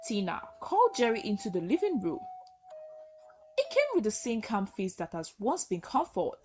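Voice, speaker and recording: A woman narrating a folktale as a storyteller, with earnest, expressive and dramatic delivery. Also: medium pitch, normal speed, faint music behind.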